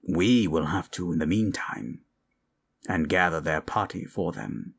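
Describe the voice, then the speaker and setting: Casting: male performer as a knowing fantasy sage, low-pitched, very unhurried, formal and dry. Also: animated, gravelly, breathy edge, heavily acted. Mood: reassuring. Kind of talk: improvised fantasy ASMR roleplay.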